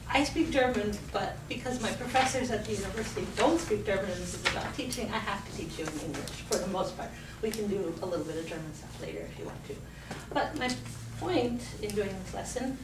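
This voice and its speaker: A woman teaching a school class informally, prompting students with questions and repeating their answers. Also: mid-range voice. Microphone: distant video camera in the room. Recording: distant mic, echoey room, clear background noise. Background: classroom noise.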